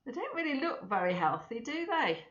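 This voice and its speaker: A woman's voice: sits high, normal speed, a little hiss or hum. Woman reading a children's story, echoey room. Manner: expressive, chatty asides to young listeners. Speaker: storybook reader for schoolchildren. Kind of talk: children's story read-aloud. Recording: echoey room, slightly dull sound.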